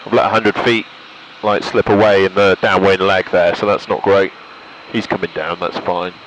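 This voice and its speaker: A British man with a fairly low voice talks through his flying as he goes. He thinks aloud casually and slips into clipped radio calls. A light aircraft engine is faintly heard behind him. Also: level tone, moderate pace, fairly bright tone, slight background noise, rough recording. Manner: thinks aloud while concentrating. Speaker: pilot and vlogger. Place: small light aircraft cockpit in flight.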